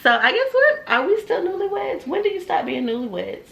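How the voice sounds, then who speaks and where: A woman's voice: extremely high pitch, big pitch swings, fairly quick, creaky, fairly bright tone. A woman, chatting and teasing in a casual couple's Q&A vlog. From Atlanta, Georgia, US. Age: 30s. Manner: chatty, laughs often, teasing. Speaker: vlog co-host.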